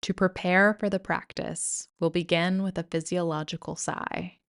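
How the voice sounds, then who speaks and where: A woman guiding a yoga nidra meditation in a soothing, gentle, measured way with pauses. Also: on the low side, fairly even intonation. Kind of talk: guided meditation narration.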